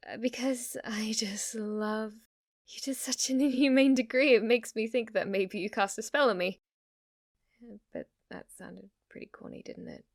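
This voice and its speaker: A woman's voice: on the high side, moderate pace, no echo, studio quality. A woman voicing an obsessive, lovestruck witch in an intimate ASMR roleplay, with a hesitant, gushing way of talking. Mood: nervous, smitten. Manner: hesitant, rambling, gushing, giggly delivery. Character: lovestruck yandere witch, obsessive, shy yet giddy, teasing.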